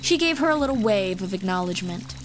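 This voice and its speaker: Female narrator for scripted fantasy fiction, medium pitch, over a clear music bed. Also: expressive, fast. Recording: music behind the voice.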